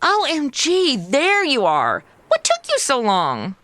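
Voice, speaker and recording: A sassy young American woman, played by an actress in a comedy radio play. Her voice sits fairly high and swoops around, with a flippant, slangy, sarcastic edge. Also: huge pitch swings, takes it slowly, a bit bright, heavily acted. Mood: exasperated, flippant. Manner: sarcastic, modern slangy delivery. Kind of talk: comedy audio drama acting.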